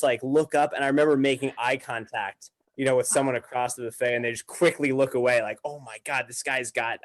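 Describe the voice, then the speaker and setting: A man with a medium-pitched voice chats as a podcast guest. He tells anecdotes in a loose, conversational way, poking fun at himself with wry overstatement. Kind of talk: casual conversational storytelling.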